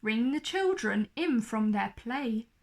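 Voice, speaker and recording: Slow, high-voiced woman storyteller. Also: lively intonation. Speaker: children's story reader. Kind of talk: expressive rhyming storybook narration.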